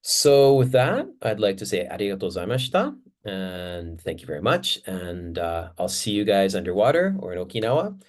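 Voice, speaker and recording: A man speaking in a relaxed, rambling way, padding his thoughts with fillers. His voice sits a little low. He sounds like he is talking off the cuff to close out a video. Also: normal speed, few pauses. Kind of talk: casual closing remarks.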